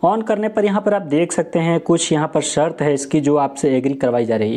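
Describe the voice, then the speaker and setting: A man's voice: a little high, warm tone. A young man giving a step-by-step tutorial, explaining and rephrasing his points as he goes. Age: young adult. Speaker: tech tutorial presenter. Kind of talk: step-by-step tutorial talk to camera. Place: small room indoors. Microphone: lapel mic.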